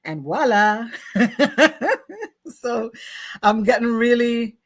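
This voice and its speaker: A woman's voice: on the high side, takes it slowly. A woman hosting a talk show, chatting in an upbeat, casual way and laughing often.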